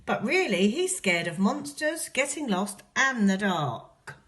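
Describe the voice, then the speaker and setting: A woman's voice: mid-range voice, sing-song, slow, fairly bright tone. A woman teacher reading a picture book aloud to young children, telling the story expressively and dramatically. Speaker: infant school teacher.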